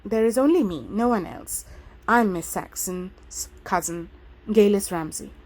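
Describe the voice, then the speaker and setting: A woman voicing a polite young woman of twenty-seven who speaks quickly and apologetically. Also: mid-range voice, darkish tone, poor audio. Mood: placating.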